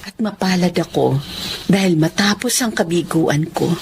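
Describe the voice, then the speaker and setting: A woman's voice: on the low side, moderate pace, background noise, close to an everyday voice. An actress playing a loving, contented young wife and mother in a sentimental, earnest Filipino radio drama style. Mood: grateful, sincere. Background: music.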